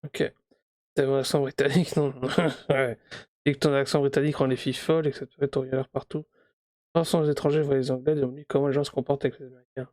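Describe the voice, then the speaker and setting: A man commentating his own live game stream, mid pitch, joking and offhand. The sound is close and dry, with no room around it. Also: little pitch movement, racing, a little mellow, no room sound. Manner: joking, offhand, mocking asides.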